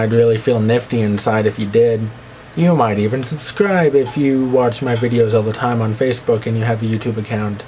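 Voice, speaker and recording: A man's voice: darkish tone, slight background noise. Rambling young man with a slight lisp. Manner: rambling, self-mocking, breaks into squeaky put-on voices. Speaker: vlogger. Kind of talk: rambling casual monologue to camera.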